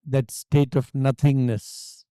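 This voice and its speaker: An Indian-accented man leading a guided meditation as a teacher, speaking calmly and deliberately with long pauses. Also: medium pitch, smooth, dry recording, clean studio sound. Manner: calm, hushed, deliberate phrasing with long pauses.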